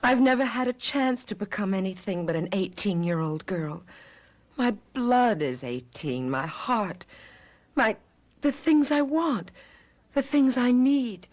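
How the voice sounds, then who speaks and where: A woman playing a world-weary wife who is forever eighteen, in melodramatic, halting radio drama style. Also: a little high, lively intonation. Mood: despairing, pleading. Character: ageless eternal eighteen-year-old wife, world-weary, melodramatic, sorrowful.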